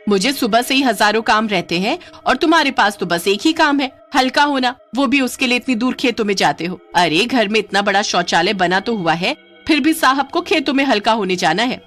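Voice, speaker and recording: Woman as a nagging village wife, lively swooping pitch. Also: fairly quick, faint music behind. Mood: annoyed scolding. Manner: scolding, exclamatory, sarcastic. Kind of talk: Hindi comic story acting.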